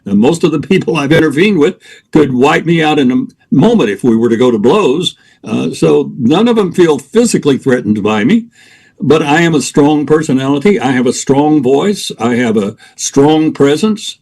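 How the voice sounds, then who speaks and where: An older man, a guest on a talk program, explaining his approach in a measured, deliberate way, listing points one by one. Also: on the high side, lively intonation, darkish tone, poor audio. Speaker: guest expert on interventions. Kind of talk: radio talk show interview.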